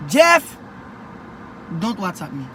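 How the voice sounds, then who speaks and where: A man's voice: high voice, huge pitch swings, slow pace, a little hiss or hum, full character voice. A man doing a scolding immigrant dad. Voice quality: heavy accent, repeats son's name constantly. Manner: nagging, exasperated, loud bursts. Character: strict immigrant father, middle-aged, scolding. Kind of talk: comedy skit.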